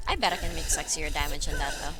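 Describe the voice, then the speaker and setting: A woman game streamer giving casual, expressive and emotionally reactive commentary while she plays. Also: low voice, fast talker, heavy background noise. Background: video game music.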